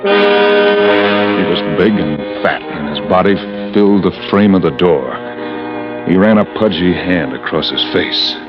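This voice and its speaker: An actor playing a vengeful, grieving husband who narrates in grim, clipped first person, in 1940s radio acting. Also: male voice, low-pitched, unhurried, loud music behind the voice. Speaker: narrator and lead. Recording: dull lo-fi old radio broadcast with score.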